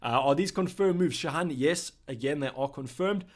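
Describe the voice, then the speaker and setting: A man's voice: fairly high pitch, normal speed. A man from South Africa hosting a livestream, chatting casually and answering viewer questions with lots of fillers and restarts. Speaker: livestream host.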